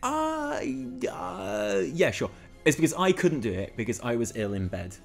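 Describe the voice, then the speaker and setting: A man's voice: mid-range voice, wildly swooping pitch, a little slow, faint music behind. A man co-hosting a gaming news show in casual banter, self-deprecating and prone to swearing. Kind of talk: casual banter and chat to viewers.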